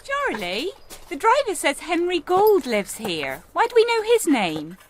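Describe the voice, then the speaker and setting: A naive young servant girl newly made a bride in a period radio drama. Her voice is high and swoops widely, eager and wide-eyed, with faint noise behind it. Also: huge pitch swings, unhurried, heavily acted. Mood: anxious.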